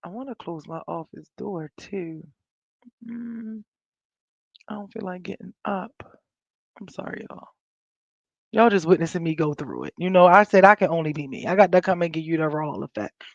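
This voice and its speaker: An American woman with a raspy, scratchy voice from a coming cold, hosting a live stream in casual, rambling, unfiltered chat with her viewers. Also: on the low side, moderate pace, pauses often, no echo, muffled recording. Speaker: livestream host. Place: indoors at home, in an office.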